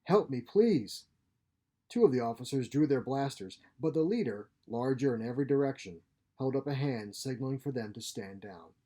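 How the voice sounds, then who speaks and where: Male audiobook narrator for science fiction: dark, mellow tone with a husky edge. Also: mid-range voice, a little fast. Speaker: author reading own short story.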